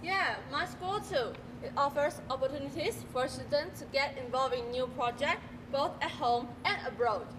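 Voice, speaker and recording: A woman's voice: high-pitched, normal speed, hardly any pauses. A young woman performing in a student stage skit, reciting her lines earnestly. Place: large school auditorium stage. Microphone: far stage microphones. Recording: distant, noisy hall recording.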